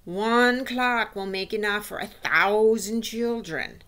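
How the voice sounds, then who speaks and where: A woman's voice: medium pitch, takes it slowly, a bit bright, pitched above the performer's own voice. A woman voicing a bossy, scornful witch leader who scolds and gives orders imperiously in a storybook. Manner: imperious, scolding, odd foreign-style grammar.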